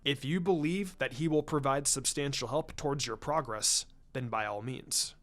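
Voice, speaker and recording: A man voicing a formal, proud clan patriarch and father in a story reading, speaking in a guarded, measured way. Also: medium pitch, a bit bright, lightly acted. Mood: formal, reserved. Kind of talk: fan fiction story reading. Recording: music under the voice.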